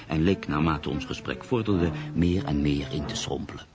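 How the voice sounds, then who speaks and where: An actor playing a reflective, skeptical journalist in a radio play, telling his own story in a measured, literary manner. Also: very deep voice. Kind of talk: radio play, first-person narration and dialogue.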